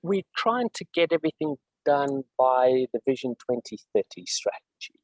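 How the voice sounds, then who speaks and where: A middle-aged man from South Africa with a South African accent, answering interview questions in a measured way, with frequent fillers and restarts. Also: medium pitch, animated, a little hiss or hum, rough recording. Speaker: guest executive.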